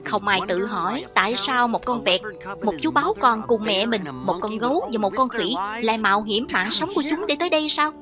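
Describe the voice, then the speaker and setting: A woman's voice: on the high side, expressive, a little fast. A woman reading a scripted cartoon voice-over translation, speaking for every character herself. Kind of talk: scripted cartoon dub narration, one reader voicing every character over the original. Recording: loud film soundtrack underneath. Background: original cartoon audio and music.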